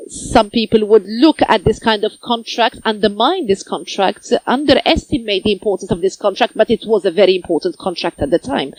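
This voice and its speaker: A woman giving simultaneous interpretation of a conference talk, speaking phrase by phrase with pauses and self-corrections. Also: mid-range voice, no room sound, low-quality recording.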